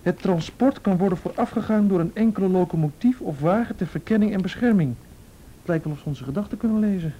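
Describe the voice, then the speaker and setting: An actor playing a thoughtful, steady, quietly nervous conspirator in a radio play, speaking in a measured conversational way. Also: male voice, natural voice. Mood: wary. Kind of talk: Dutch radio play acting. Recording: dull, noisy radio recording with rumble.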